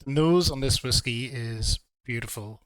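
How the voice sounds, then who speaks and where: A man reviewing whiskey in a relaxed, hesitant way, a little throaty from a cold. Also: mid-range voice, measured pace, clean tone, no room sound. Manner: relaxed, hesitant with frequent ums. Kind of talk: casual video review.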